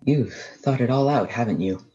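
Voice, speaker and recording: A man's voice: mid-range voice, normal speed, mellow, natural voice. Male actor as a tired, educated survivor, earnest and doubting, radio-play style, slightly dull lo-fi recording. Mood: wary, doubtful. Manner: earnest, questioning.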